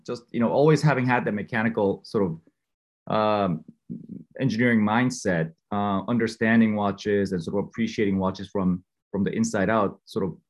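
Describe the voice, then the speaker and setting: A man in his thirties, a watch collector guest, talking casually over a remote call with earbuds, full of fillers and restarts. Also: fairly low pitch. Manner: rambling, many fillers and restarts. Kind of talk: casual conversational interview.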